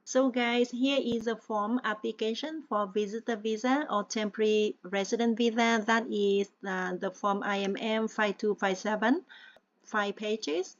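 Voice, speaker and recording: A woman talks through a tutorial with patient, hesitant pacing and frequent check-ins with her listener. Her voice sits fairly high and sounds dry and close, with no room around it. The recording itself is dull and a little lo-fi. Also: fairly even intonation, a little slow, no echo. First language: Vietnamese. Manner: hesitant, with many fillers. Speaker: tutorial presenter. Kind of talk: step-by-step screen-share walkthrough.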